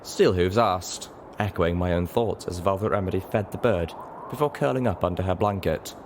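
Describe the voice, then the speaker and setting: Deep guy reading fiction out loud, really animated, bit of noise behind him. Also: moderate pace. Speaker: audiobook narrator. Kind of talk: expressive scripted story narration.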